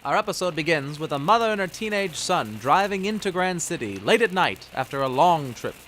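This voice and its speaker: A man's voice: on the high side, lively intonation, a little fast, over loud music, poor audio. A man narrating dramatically in the manner of an old-time radio serial announcer. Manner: theatrical announcer delivery.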